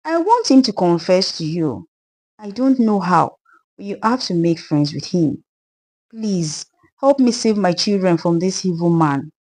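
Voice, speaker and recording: Woman voicing a sorrowful Nigerian ghost widow, very animated. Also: fairly low pitch, unhurried. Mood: pleading. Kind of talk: dramatised folktale narration.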